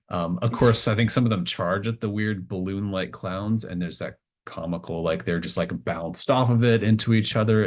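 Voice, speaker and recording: A man narrating a tabletop roleplaying game as game master, improvising in a halting, conversational way. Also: low voice. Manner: halting, conversational, pieces scenes together aloud.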